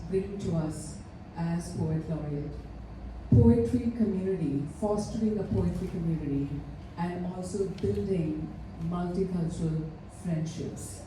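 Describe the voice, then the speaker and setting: A woman from India giving an earnest, measured tribute speech, pausing often with fillers. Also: lowish voice. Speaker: ceremony speaker. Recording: echoey hall, mic far off, faint noise.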